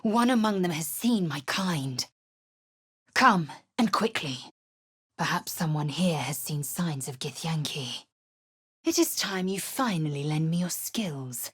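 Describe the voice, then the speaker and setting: Game role: proud female githyanki warrior; low, very husky, slow and commanding, contemptuous. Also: lively intonation, a bit bright, exaggerated voice. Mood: stern. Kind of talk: video game character lines.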